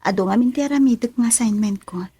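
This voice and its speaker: Soft, husky young Filipino daughter. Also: female voice, a little high, fast talker, no echo. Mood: respectful, concerned. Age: young adult. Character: dutiful, gentle young university student daughter. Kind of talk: Filipino radio drama acting.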